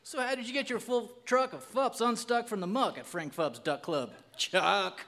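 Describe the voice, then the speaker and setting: A man's voice: high voice, normal speed, fairly bright tone. A middle-aged man playing a folksy, cheerful beer lover in a live comedy ad sketch, delivering singsong tongue-twisters. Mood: playful. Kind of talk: live radio-style beer ad parody. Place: stage in a small theatre. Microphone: stand microphone. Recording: live stage amplification in a hall.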